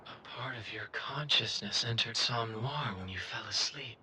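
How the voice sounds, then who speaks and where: A man's voice: mid-range voice, sharp tone, slight background noise. A man playing a composed, enigmatic guardian of a dream realm, speaking formally in a video game. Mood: calm, formal. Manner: measured, formal.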